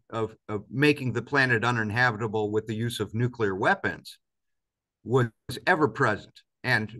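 A man's voice: on the low side, pushed and bright. A man in his fifties being interviewed on a video call, talking reflectively and emphatically with rhetorical questions. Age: 50s. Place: indoors, large office-like room, on a video call.

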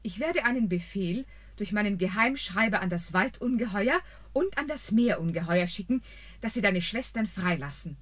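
Brief: a stately young queen, husky edge, radio play. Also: mid-range voice, animated, moderate pace, a bit bright. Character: gracious young queen, proud but kind.

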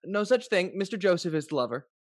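A young actor playing a self-assured, gossiping society dandy in a period stage comedy, affected and emphatic. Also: male voice, sits high, expressive, fast. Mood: smug. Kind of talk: theatrical period comedy acting. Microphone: studio microphone on arm, close.

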